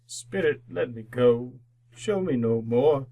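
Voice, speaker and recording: An actor playing an anguished old miser, pleading in a distraught, drawn-out way in old-time radio drama style. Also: male voice, mid-range voice, expressive, creaky, mellow, exaggerated voice.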